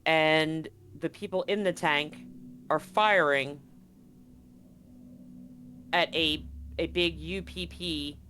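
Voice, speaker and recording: A man narrating a tabletop game as game master, improvising haltingly and repeating words as he goes. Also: fairly high pitch, sharp tone.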